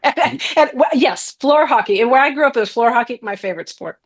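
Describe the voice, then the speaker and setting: A woman's voice: on the high side, lively intonation, breathy edge. Articulate American Midwestern woman. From Columbus, Ohio, USA. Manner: articulate, thoughtful, quick to laugh. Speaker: guest executive coach. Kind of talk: conversational podcast interview.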